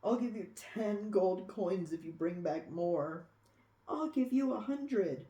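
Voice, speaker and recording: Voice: mid-range voice, lively intonation, slow pace, mellow, reverberant, pitched above the performer's own voice. A reader voicing a greedy, pleading dragon in a storybook read-aloud. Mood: eager, pleading.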